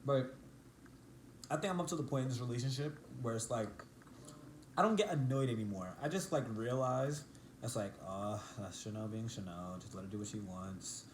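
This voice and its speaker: A playful young man in his twenties chats loosely beside his partner, teasing and joking as he talks. His voice bounces around a small echoey room, with a faint hum underneath. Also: normal speed. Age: 20s. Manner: playful, jumps high when mimicking his partner. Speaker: couple vlogger. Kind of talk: casual chat.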